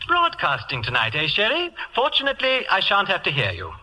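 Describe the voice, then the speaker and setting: An actor playing a vain, theatrically affectionate English playwright in an old radio comedy, with an arch, gushing drawl. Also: male voice, high voice, wildly swooping pitch, a little fast, pushed and bright, a little hiss or hum, heavily acted. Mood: airy, amused. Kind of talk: 1940s radio comedy acting. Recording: old radio broadcast in a reverberant hall.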